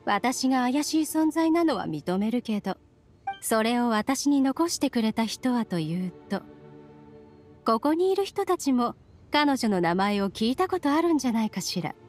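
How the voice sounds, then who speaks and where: A woman's voice: fairly high pitch, big pitch swings, moderate pace, a little mellow, soft background music. A woman playing a composed, sly young female visitor in an anime game, teasing and assured. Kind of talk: Japanese anime game acting.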